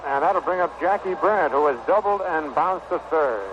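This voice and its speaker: An American man calling baseball play-by-play in 1960s radio style, in measured, short phrases with pauses. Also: a little high. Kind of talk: 1960s radio sports commentary. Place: stadium broadcast booth. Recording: noisy dull vintage 1960 radio broadcast.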